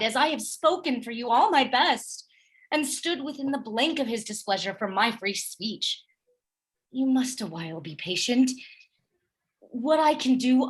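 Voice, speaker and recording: Woman as young noblewoman in Shakespeare audio play: very high pitch, husky grain, earnest and troubled devotion. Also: exaggerated voice. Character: earnest, distressed young noblewoman, a loyal wife. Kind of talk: Shakespearean radio play acting.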